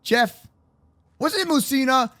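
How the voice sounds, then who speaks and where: A young man doing excitable, playful gaming roleplay commentary for children, slangy and exclamatory. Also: extremely high pitch, unhurried. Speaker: host of a gaming roleplay channel. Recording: faint music behind the voice. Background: faint music.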